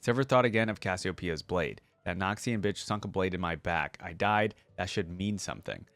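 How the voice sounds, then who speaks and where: Male host, lowish voice, dry close sound; relaxed colloquial delivery for lore reading and casual commentary. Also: normal speed, gravelly, no echo. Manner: laid-back, colloquial fan talk. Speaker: reaction video host reading lore aloud.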